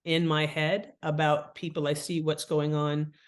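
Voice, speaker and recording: A woman's voice: sits low, a little slow. A woman talking casually and expressively as an interview guest, slipping into little acted-out asides as she explains. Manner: chatty, expressive, acts out imagined thoughts and asides. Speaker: podcast guest, fiction author and editor. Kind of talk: casual, animated interview talk.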